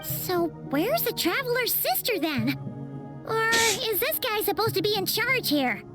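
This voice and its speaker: A woman voicing a tiny, childlike fairy companion in a video game, excitable, inquisitive and very expressive. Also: a little slow, loud music behind the voice, exaggerated voice. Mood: puzzled. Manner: squeaky, expressive, questioning. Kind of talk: cartoonish video game acting.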